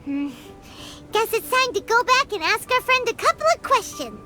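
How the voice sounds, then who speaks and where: A woman voicing a tiny, childlike fairy companion in a video game, squeaky, perky, feisty and quick to get indignant. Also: sing-song. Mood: doubtful. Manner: perky, indignant, refers to self by name. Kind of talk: cartoonish video game character acting. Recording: game music under the voice. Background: video game music.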